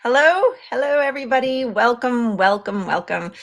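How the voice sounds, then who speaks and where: A woman's voice: on the high side, expressive, normal speed, fairly bright tone. Enthusiastic, chatty woman hosting. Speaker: podcast host. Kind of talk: livestream interview hosting.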